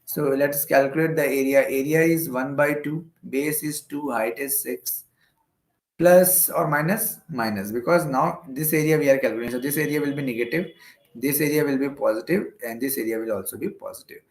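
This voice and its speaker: An Indian-accented man explains worked problems like a classroom teacher, repeating key phrases as he goes. His mid-pitched voice has a creaky, gravelly edge. The recording carries noticeable room echo. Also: moderate pace. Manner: repeats phrases and checks with "okay". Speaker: physics teacher. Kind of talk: explanatory exam-prep lecture working through problems step by step. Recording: roomy echo.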